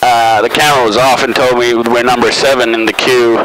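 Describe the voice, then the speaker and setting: Male pilot over loud cockpit noise. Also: medium pitch, flat delivery. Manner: terse, procedural callouts with pauses. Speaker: private pilot and narrator. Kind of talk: cockpit commentary and radio readbacks. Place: small propeller plane cockpit. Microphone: aviation headset. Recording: loud aircraft cabin noise, headset intercom.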